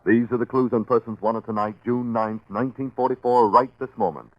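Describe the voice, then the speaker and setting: A man's voice: mid-range voice, fairly even intonation, brisk, no room sound. An American man announcing on 1940s radio in a scripted commercial delivery. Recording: dull, dry 1940s radio broadcast.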